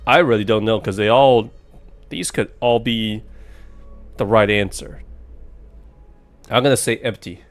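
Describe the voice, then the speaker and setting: Man, streamer, sarcastic, low voice, lively, music behind. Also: unhurried, pauses often. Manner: sarcastic, joking back at the game. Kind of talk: let's play commentary.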